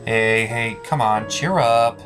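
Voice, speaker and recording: A performer voicing an opinionated puppet gentleman who hosts scripted comedy cartoon reviews in a blunt way. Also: mid-range voice, slow pace, over music, close to an everyday voice. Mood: consoling. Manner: blunt, exasperated, swears freely.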